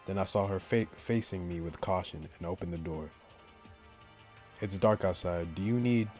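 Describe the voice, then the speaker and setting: Very low-voiced man reading a visual novel. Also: moderate pace, a little mellow. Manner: slangy, jokey commentary. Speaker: gaming YouTuber. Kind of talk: visual novel let's play, reading aloud with joking asides. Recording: music behind the voice. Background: game music.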